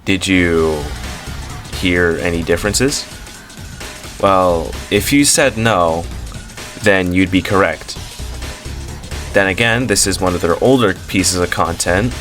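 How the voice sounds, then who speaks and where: A man's voice: low voice, slow, long pauses, fairly bright tone, faint music behind. A man hosting a commentary video, talking in a casual, rambling way with frequent fillers and self-corrections. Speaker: commentary channel host.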